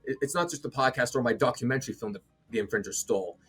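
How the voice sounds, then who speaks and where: A man's voice: medium pitch, racing, a bit bright, breathy edge. A young college-age man hosts a casual podcast, speaking in an earnest, announcer-like way. Faint music plays underneath his voice. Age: young adult. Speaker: podcast host.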